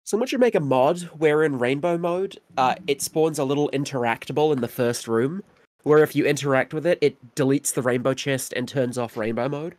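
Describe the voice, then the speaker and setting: A man's voice: mid-range voice, a little fast, a little mellow, no echo. Slightly stuffy-nosed man chatting over gameplay. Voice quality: slightly stuffy, sniffly nose. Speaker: guest co-commentator. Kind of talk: casual joking chat over gameplay.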